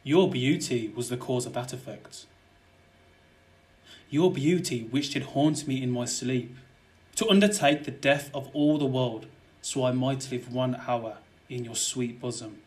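A man's voice: medium pitch, a little slow, natural voice. A young man playing a scheming, murderous nobleman in a verse audio drama, wooing ardently and persuasively. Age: young adult. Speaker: student actor.

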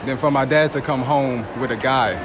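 A man's voice: mid-range voice, moderate pace, a crowd in the background, background noise. A young man answering a street interview in a mall, insistent and talking over others. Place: shopping mall indoors.

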